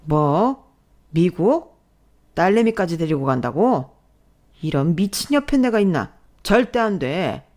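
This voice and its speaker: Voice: medium pitch, very animated, slow, pauses often, a little mellow. A narrator voicing a boorish, lazy husband and father who scolds and sneers in a family story. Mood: furious.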